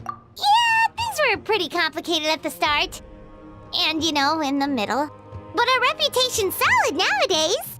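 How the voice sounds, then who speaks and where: A woman's voice: extremely high pitch, huge pitch swings, a little slow, sharp tone, faint music behind, full character voice. A woman voicing a small, childlike fairy companion in a video game, timid but loyal, with a hesitant way of talking. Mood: puzzled, chatty. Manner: hesitant, speaks of self in third person. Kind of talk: video game character acting.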